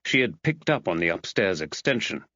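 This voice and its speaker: A man reads scripted mystery fiction as an audiobook narrator. His voice is a little low and moves expressively in pitch. The recording is close and dry, with slightly muffled tone. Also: very animated, normal speed, bright, forceful tone, dry recording.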